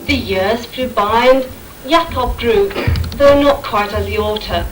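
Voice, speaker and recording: A woman's voice: a little high, a little slow, over music. A woman giving scripted rhyming storytelling narration as the narrator of an amateur pantomime. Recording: distant, noisy amateur stage recording.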